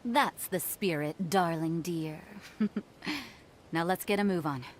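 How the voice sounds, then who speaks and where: A woman's voice: on the low side, takes it slowly, a little hiss or hum. A woman playing a resolute, protective female commander and guarded older sister in a video game cutscene, commanding and earnest with a teasing streak. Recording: loud music behind the voice. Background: loud game music.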